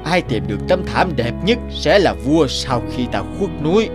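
Man playing a slow, regal old king. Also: a little high, big pitch swings, music in the background. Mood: resolute. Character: aging, deliberate, authoritative king.

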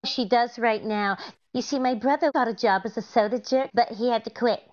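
Female actor as a scatterbrained, innocent wife, vintage radio comedy style, raised pitch, slightly dull lo-fi audio. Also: moderate pace, a little mellow, natural voice. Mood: innocent, puzzled. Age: middle-aged. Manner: innocent, earnest. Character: sweet, scatterbrained, literal-minded comedian wife. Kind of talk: 1940s radio comedy acting.

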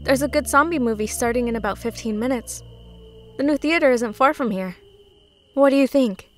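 An intense young woman played by a woman in a dubbed comic drama. Her voice sits high, and she talks with pointed, insistent wounded pride. Light music runs underneath. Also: moderate pace, natural voice. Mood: coaxing. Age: young adult. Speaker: voice actor in a comic dub. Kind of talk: dramatic dialogue. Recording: music behind the voice.

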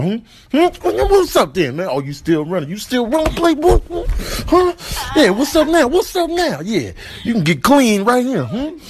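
A man's voice: high-pitched, slight background noise. A man voicing a cocky, confrontational animal in a comic video voiceover, slangy and repetitive. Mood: indignant. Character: cocky, taunting male animal spoiling for a fight.

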